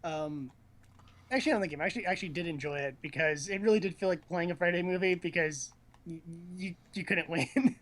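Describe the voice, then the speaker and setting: A man's voice: high voice, fast talker, a bit bright. A man casually chatting as he watches a video game, teasing and joking in slangy talk. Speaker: commentator on a video game stream.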